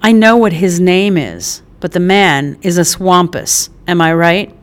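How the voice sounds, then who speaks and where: A woman reader voicing a brash, affectionate middle-aged mother just out of prison, loud, bossy and teasing, full of motherly endearments. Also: fairly low pitch, animated, unhurried.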